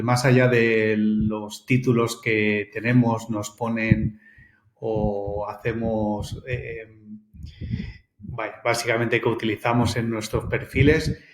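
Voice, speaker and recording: A man's voice: on the low side, little pitch movement, slow pace. A man hosting a podcast who asks questions in a friendly, hesitant way, with many fillers. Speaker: podcast host. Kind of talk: casual interview. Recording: roomy echo.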